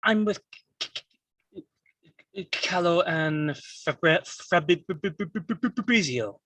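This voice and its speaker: A man playing a guarded young American exile, a mobster's son, with a husky voice and a heavy stammer in a table read. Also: high voice, takes it slowly, fairly bright tone, no room sound, full character voice. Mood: restless. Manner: heavy stammer, repeating first sounds. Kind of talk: live table read of a film script.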